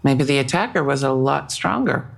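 No-nonsense female detective: lively intonation, gravelly edge, businesslike audio drama delivery. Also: extremely low pitch, normal speed, exaggerated voice. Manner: serious, businesslike. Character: no-nonsense police detective, serious and probing. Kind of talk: full-cast audio drama acting.

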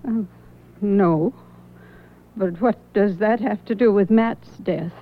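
An actress as an older mother in a radio play, prim and guarded. She speaks haltingly at a middling pitch, confiding with care, through a very thin, phone-like old recording. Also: slight background noise, natural voice. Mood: polite, guarded. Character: older widowly mother, guarded, disapproving of her son. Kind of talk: radio drama acting.

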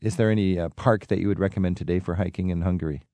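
A man hosts a travel radio show with a fairly low voice. He speaks fast and keeps firing curious follow-up questions. The studio sound is dry and close. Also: fairly even intonation, warm tone, no room sound. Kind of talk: conversational radio interview.

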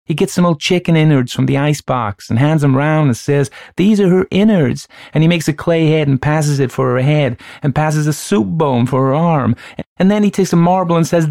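A man voicing an excited know-it-all child who explains in a breathless, gleeful run. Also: on the high side, dry recording, studio quality, voice pushed up.

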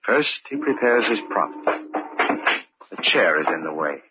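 A sinister narrator of a radio murder thriller. His low voice is grave, measured and deliberate. The recording is old and muffled. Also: very animated, over loud music. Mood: grave, ominous.

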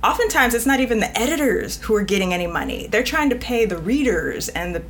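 A woman's voice: a little high, very animated, fast, fairly bright tone, a little hiss or hum. A woman guest editor talking frankly and at length in a casual podcast conversation, trailing off and restarting mid-thought.

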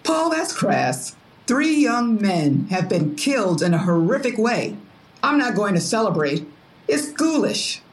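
A woman's voice: medium pitch, sing-song, unhurried. An actress playing an indignant, exasperated, principled woman who challenges others in an audio drama. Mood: disapproving. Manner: challenging, disapproving.

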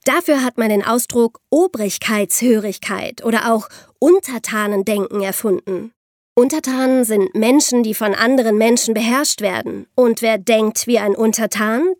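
A woman co-hosting an explanatory history podcast, speaking clearly and emphatically. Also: a little high, moderate pace, no room sound, clean studio sound. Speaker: podcast co-host.

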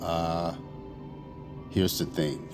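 An actor playing a cool, secretive imaginary companion who plans an escape, calm and deliberate, in an audio drama. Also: male voice, low-pitched, over music. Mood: deliberate, cautious. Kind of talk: audio fiction acting.

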